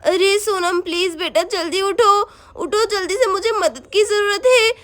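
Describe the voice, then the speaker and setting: A woman's voice: very high-pitched, measured pace, a bit bright. A woman voicing an injured mother who pleads tearfully for help. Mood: pleading.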